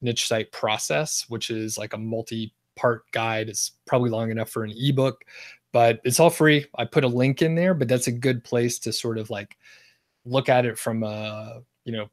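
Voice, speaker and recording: A man's voice: medium pitch, fairly even intonation, moderate pace, dry recording, clean studio sound. A man appearing as a guest expert on a livestream, explaining things casually with many "like" and "kind of" fillers.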